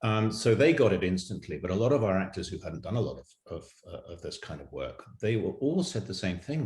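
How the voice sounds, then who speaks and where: A man's voice: low-pitched, darkish tone. A man talking conversationally as an interviewed audio drama producer. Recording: roomy, echoey remote recording.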